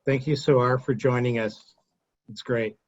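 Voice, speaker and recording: A man hosting a webinar, encouraging the speaker and introducing the next guest briskly. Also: mid-range voice, steady tone, lo-fi sound, a little hiss or hum. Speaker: host. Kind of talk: webinar moderation.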